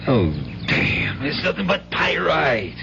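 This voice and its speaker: An actor playing a desperate, weak-willed gambling father with an American accent and a halting, pleading delivery in a radio drama. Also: a little high, big pitch swings, vocal fry, full character voice. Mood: bitter, agitated. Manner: halting, pleading, repeats himself. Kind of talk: old-time radio drama acting. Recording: dull, noisy 1970s radio recording with hum.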